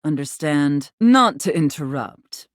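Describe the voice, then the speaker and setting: A woman's voice: low-pitched, animated, slow, no room sound, studio quality. A woman voicing a haughty, domineering mistress character in a video game, imperious and scornful, savoring key words. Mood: apologetic, candid. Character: haughty, domineering female safe with a dominatrix persona.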